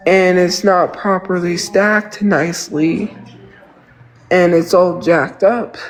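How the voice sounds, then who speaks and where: High-voiced congested man venting. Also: darkish tone, rough recording. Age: 30s. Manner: rambling, venting, repeats himself. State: congested nose. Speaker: livestreamer. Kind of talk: casual livestream chat.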